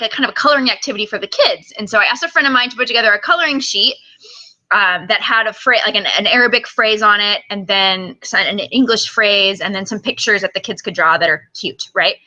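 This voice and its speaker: A woman's voice: medium pitch, bright, forceful tone, low-quality recording. A woman co-hosting a podcast in casual, chatty, self-deprecating talk. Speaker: podcast co-host. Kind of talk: casual chat.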